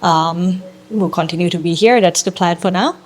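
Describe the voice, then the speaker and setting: A young adult woman from Thailand, a startup co-founder giving a presentation to an audience, explaining in a measured way with frequent ums and some grammar. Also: on the low side.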